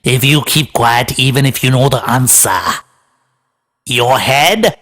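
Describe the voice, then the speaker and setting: A man's voice: medium pitch, steady tone, slow, fairly bright tone. A man voicing a sly, taunting ghost in a children's cartoon, with theatrical, goading delivery. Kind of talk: children's animated story dialogue.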